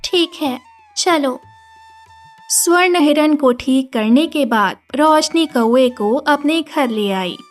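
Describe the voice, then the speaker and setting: A woman narrating an animated children's story as a scripted storyteller. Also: sits high, slow, few pauses. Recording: music behind the voice.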